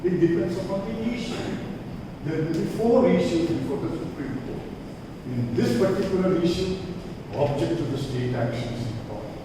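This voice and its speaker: Male law professor, emphatic lecture, heard from a distance in a long-echo room with steady background noise. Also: moderate pace. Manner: lively, emphatic lecturing, rising in pitch on key points. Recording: echoey hall, voice from far off, noisy.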